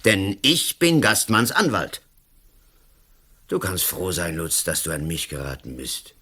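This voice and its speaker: A man's voice: low voice, huge pitch swings, measured pace, bright, forceful tone, slight background noise, exaggerated voice. Swooping, pompous male politician. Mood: smug, condescending. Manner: pompous, blustering, condescending. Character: overbearing, smug male lawyer and politician, a colonel. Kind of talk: radio play acting.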